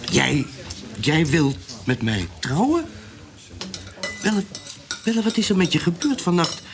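An actor in a Dutch radio drama playing a teasing, sceptical army veteran who is a fond partner in crime. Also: male voice, fairly high pitch, big pitch swings, a little slow. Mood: astonished. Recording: noisy old radio drama recording.

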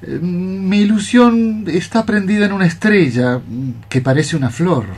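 An actor playing a lovelorn, dreamy young cockroach poet, declaiming lyrical verse in a radio play. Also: male voice, sits high, unhurried, darkish tone. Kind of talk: radio theatre verse acting.

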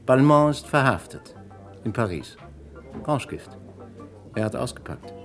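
An actor playing a shrewd, persistent police inspector in a vintage radio crime drama, blunt and probing. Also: male voice, medium pitch, big pitch swings, a little slow, music in the background. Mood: stern, pressing. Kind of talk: German radio crime play acting, 1950s to 80s.

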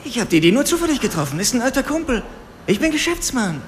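An actor playing a cocky, self-pitying street criminal in a radio drama, whining and defiant. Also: male voice, very high voice, very animated, quick pace. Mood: defensive, cocky. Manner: whining, defiant, swinging in emphasis. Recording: long reverb, faint background noise.

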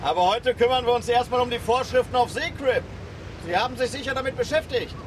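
Eager officious deputy sidekick, super high voice, noisy, radio drama. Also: male voice, quick pace. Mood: officious, needling. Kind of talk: German radio crime drama acting.

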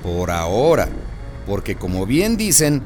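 Male radio drama narrator: folksy, wry, unhurried, richly varied intonation. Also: on the low side, wildly swooping pitch, a little mellow, faint music behind. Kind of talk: expressive storytelling narration.

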